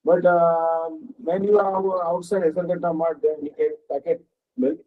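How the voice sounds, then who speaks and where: A man giving a devotional discourse as a spiritual teacher, halting often and checking in with the listeners. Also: on the high side. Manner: halting, with frequent "uh" and questioning "huh?". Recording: dull lo-fi recording.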